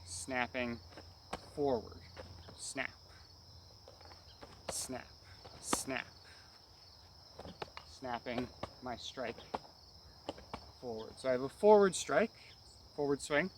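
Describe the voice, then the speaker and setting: A man's voice: mid-range voice, drawn-out words, long pauses, background noise. A man giving hands-on martial arts instruction in short, clipped phrases, often adding brief check-in tags. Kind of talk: hands-on technique demonstration, instructional.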